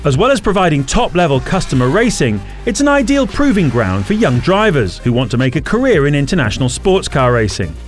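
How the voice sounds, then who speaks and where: Male reporter, voice-over narration, lively up-and-down pitch, fairly high, light music under. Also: fairly quick, few pauses. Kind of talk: scripted narration.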